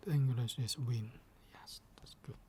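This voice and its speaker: A man performs ASMR in a gentle, soft-spoken voice that often slips into a whisper. His pace is slow and his pitch is middling. He sounds patient and a little hesitant, going step by step, over a faint layer of background noise.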